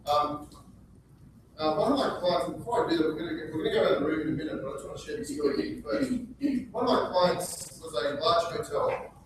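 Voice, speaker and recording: A man's voice: fairly high pitch, animated, fast talker. Upbeat Australian man presenting in an echoey room. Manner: upbeat, engages audience with questions. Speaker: presenter at business breakfast. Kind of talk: live seminar presentation. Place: meeting room with audience. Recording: echoey room, far from mic, background noise.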